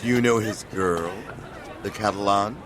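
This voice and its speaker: An actor playing a sly, insinuating gossip stirring up trouble in an audio drama. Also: male voice, lowish voice, moderate pace, a crowd in the background, noisy background, natural voice.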